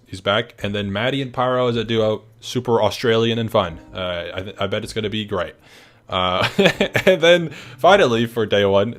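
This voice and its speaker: A man's voice: lowish voice, a bit bright. A young man who is sick and losing his voice, hosting a casual team announcement in an upbeat, rambling way with lots of fillers. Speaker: event host announcing teams.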